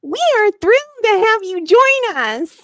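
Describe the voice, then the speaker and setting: A woman's voice: very high-pitched, very animated, slow pace, a bit bright, no room sound, studio quality, exaggerated voice. A woman voicing a jovial, enthusiastic woman school principal in a storybook, gushing and theatrical. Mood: gushing welcome.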